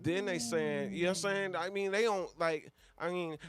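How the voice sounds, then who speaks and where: An American man co-hosting a radio show with casual, profane, slangy banter and an animated street manner. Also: sits high, normal speed, a crowd in the background. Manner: animated street-slang talk, often asks "you know what I'm saying".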